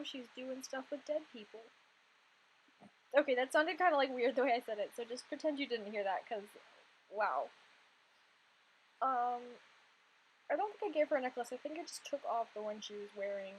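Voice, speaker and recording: A young woman in her twenties, slightly hoarse from losing her voice, chatting casually over gameplay in a rambling, filler-heavy way. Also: high voice, a little hiss or hum, poor audio. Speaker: Sims content creator.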